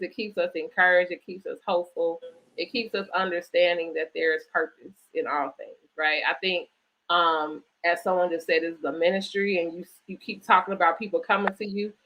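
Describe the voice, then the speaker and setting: A woman's voice: fairly low pitch, fairly bright tone, poor audio. A woman hosting a conversational live online interview, speaking in an encouraging, affirming way. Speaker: host interviewing an author.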